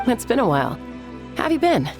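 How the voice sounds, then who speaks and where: A woman's voice: on the high side, very animated, fast talker, over loud music. A woman voicing a laid-back, self-assured young female warrior with a casual, easygoing manner in a video game.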